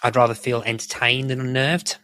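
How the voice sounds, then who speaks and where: A man's voice: medium pitch, level tone, fairly quick, sharp tone, no room sound. A man chatting casually as a podcast guest, explaining things in a rambling way. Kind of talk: casual chat.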